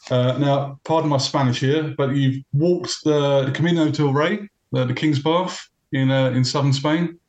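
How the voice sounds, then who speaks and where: A man's voice: mid-range voice, fairly even intonation, normal speed, hardly any pauses, a little mellow. A man hosts an interview in a chatty, self-deprecating way, filling gaps with "uh" and "um". His mid-range voice rings in an echoey room. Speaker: podcast host. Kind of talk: interviewing a guest.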